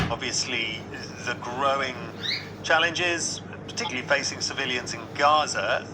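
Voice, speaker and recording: Measured male news presenter, noisy audio. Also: mid-range voice. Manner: probing, measured questions. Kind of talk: radio news interview.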